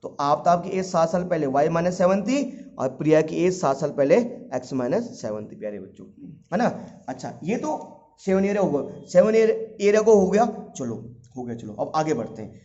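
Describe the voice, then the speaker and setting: A man's voice: fairly high pitch, lively intonation, normal speed, warm tone. A man gives an informal maths lesson. He goes over each step repeatedly, asking his students questions and answering them himself. The audio is dull and lo-fi. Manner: addresses students fondly as children. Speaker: maths teacher.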